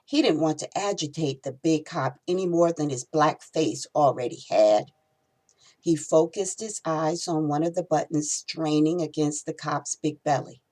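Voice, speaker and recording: A woman reading her own novel aloud in expressive storytelling narration. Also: deep voice, a little slow, poor audio.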